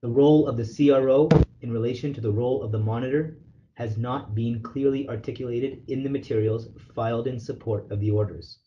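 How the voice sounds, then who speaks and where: A man lawyer reading a formal legal letter aloud on a conference call, in a measured, formal way. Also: mid-range voice. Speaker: lawyer, representative counsel leading a call. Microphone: telephone conference call. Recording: dull conference phone call with noise.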